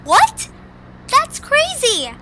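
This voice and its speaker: Very high, headstrong little cartoon girl played by a woman. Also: huge pitch swings, drawn-out words, heavy background noise, heavily acted. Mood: excited, indignant. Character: headstrong, suspicious young girl Zoombini, small cartoon creature. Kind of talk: amateur cartoon audio drama acting.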